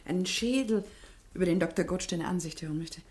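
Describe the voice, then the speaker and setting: An actress playing a professor's thoughtful, candid daughter in a German detective radio play, speaking with some hesitation. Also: female voice, lowish voice, brisk, a little mellow, breathy edge. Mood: puzzled.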